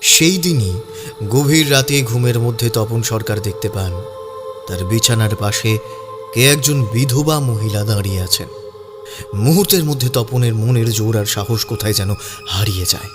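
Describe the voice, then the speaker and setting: A man's voice: mid-range voice, expressive, normal speed, over music. A man narrating a scripted audio story.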